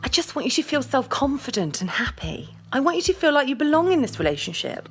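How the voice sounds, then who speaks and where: A woman's voice: sits high, big pitch swings, fast, faint music behind. A woman with a British accent playing a blunt, scornful, image-obsessed girlfriend in an audio drama, with a cutting, emphatic delivery. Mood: concerned, coaxing.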